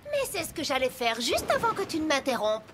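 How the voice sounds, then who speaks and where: A woman's voice: sits high, wildly swooping pitch, a little fast. A woman voicing a blunt, down-to-earth farm mare pony in a French cartoon dub, with a plain-spoken, dry manner. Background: cartoon music score.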